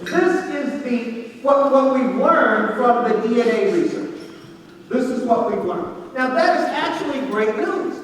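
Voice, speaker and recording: Loud, confident man speaking in an echoey hall. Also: very high-pitched, lively intonation, unhurried, slight background noise. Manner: confident, boastful, jokey lecturing. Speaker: guest speaker, economist. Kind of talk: banquet keynote speech. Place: large banquet hall. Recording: echoey hall, mic from far off.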